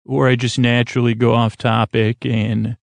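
A man hosting a sleep podcast in a lulling, soothing way, rambling unscripted, stumbling and trailing off mid-thought with gentle self-deprecation. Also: medium pitch, little pitch movement, moderate pace, vocal fry, no echo. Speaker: podcast host.

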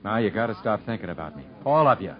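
An actor playing a proud, stubborn rural husband convicted of murder, with plain folksy speech, in a 1950s radio drama. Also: male voice, lowish voice, very animated, quick pace. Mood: resigned, somber. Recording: dull 1950s radio broadcast recording.

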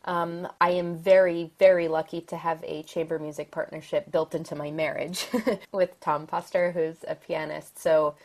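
Philly-accented woman, lowish voice, chatty podcast guest, lots of ums and little laughs. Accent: American, Philadelphia. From Philadelphia area, USA. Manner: thoughtful, upbeat, with soft laughs and many ums. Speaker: guest, violin soloist. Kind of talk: podcast interview.

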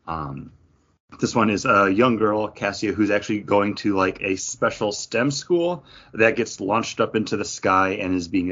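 A man's voice: lowish voice, a bit bright, dull audio. A man talking casually about comic books as a podcast co-host. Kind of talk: casual comic book preview talk.

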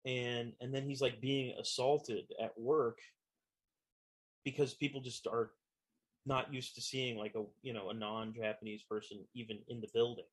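A man's voice: medium pitch, fairly even intonation, moderate pace. A man chatting casually, hedging and filling his talk with "you know" and "like". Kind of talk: casual chat about a TV show.

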